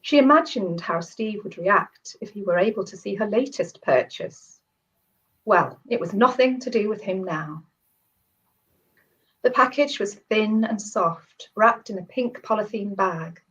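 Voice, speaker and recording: A woman with a cold and a croaky voice, chatting as a guest author and then reading her own short story aloud. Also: medium pitch, expressive, unhurried. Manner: warm, chatty, with laughs and restarts. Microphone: video call. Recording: dull lo-fi video call audio.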